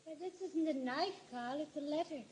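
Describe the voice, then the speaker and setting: An actress playing an eerie, coaxing wife who feigns innocence with menace in a 1940s radio thriller. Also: female voice, high voice, normal speed, darkish tone, a little hiss or hum, lightly acted. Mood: feigned innocence. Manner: coaxing, theatrical.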